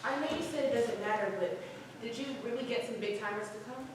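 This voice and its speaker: A woman's voice: a little high, fast, close to an everyday voice. A woman in a staged play reading playing a nervous, eager young stage actress. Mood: hesitant, curious. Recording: distant, reverberant hall, faint noise.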